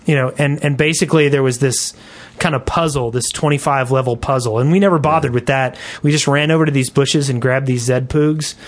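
A man's voice: medium pitch, steady tone, brisk. Casual man chatting on a gaming podcast. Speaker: podcast co-host.

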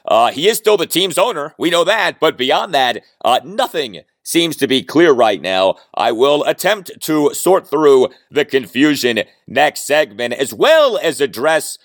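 A man's voice: on the high side, big pitch swings, measured pace, hardly any pauses, no echo, studio quality. A man hosting a solo sports podcast, talking emphatically with frequent fillers while recovering from a lost voice.

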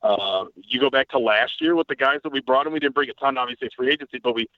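A man rattles off analysis as a podcast guest, quick and loose with the odd curse. His voice comes through narrow and phone-like, with no room around it. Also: mid-range voice, dry recording. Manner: fast-talking, analytical, swears casually. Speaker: podcast guest, team site writer. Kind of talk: casual podcast chat. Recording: tinny dry phone-line audio.

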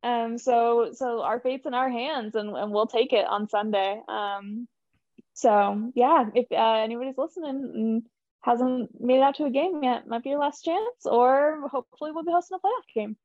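A woman's voice: a little high, normal speed, a little mellow, no echo, dull audio. A young woman speaking as a podcast guest from a team's communications staff, chatting in an upbeat, earnest way. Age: young adult. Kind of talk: casual interview chat.

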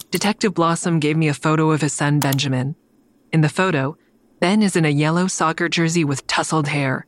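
Low-voiced, guarded woman investigator played by an actress. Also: no room sound, lightly acted. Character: guarded, stressed woman investigator hiding a dark secret. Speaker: narrator and lead character. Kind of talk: audio drama acting with first-person narration.

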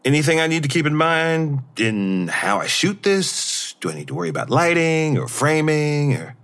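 An actor playing a patient, skeptical friend in an audio drama, speaking in a measured, deadpan way. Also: male voice, fairly high pitch, clean tone. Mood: obliging. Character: patient, skeptical male friend, careful and obliging. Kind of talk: naturalistic audio drama dialogue.

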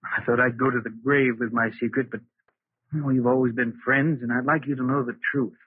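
A man acts a weary, mild husband from an old radio thriller. His voice is mid-pitched and husky, with bitterness under the politeness. It sounds dry and tinny, like a vintage broadcast. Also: fairly even intonation, brisk, no echo, close to an everyday voice. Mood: confessional. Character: weary, henpecked, mild-mannered bookkeeper husband with hidden bitterness. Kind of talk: 1940s radio acting.

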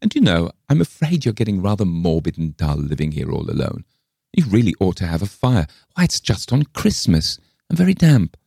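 A practical woman visitor from a storybook, played by a man, with a voice lifted well up in pitch. She is brisk and cheerful, matter-of-fact, and coaxes with polite insistence. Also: sing-song, creaky, warm tone, breathy edge, dry recording, clean studio sound, full character voice. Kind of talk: dramatised storybook reading.

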